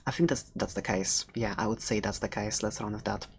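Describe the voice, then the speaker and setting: A woman's voice: a bass voice, steady tone, brisk, slight background noise. A woman playing a chatty, quirky dragon egg seller in an ASMR roleplay, talking in a hesitant, playful, conversational way close to the microphone. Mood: chatty, reassuring. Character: chatty, quirky seller of dragon eggs, fond of dangerous pets. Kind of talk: ASMR roleplay, casual rambling talk.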